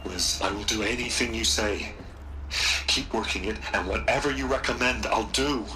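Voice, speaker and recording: An actor voicing a rich, guilt-ridden tech magnate in a video game, agitated and pleading. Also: male voice, fairly low pitch, steady tone, moderate pace, background noise, lightly acted. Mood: distressed. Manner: agitated, pleading, voice cracking upward. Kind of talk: video game cutscene acting. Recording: game audio with music underneath.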